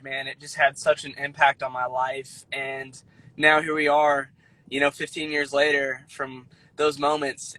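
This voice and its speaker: A man's voice: a little high, bright, forceful tone, dry recording, low-quality recording. A young man from the American Midwest chatting enthusiastically as an interview guest on a live video call. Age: young adult. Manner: upbeat, enthusiastic. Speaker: singer-songwriter guest. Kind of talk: casual interview chat.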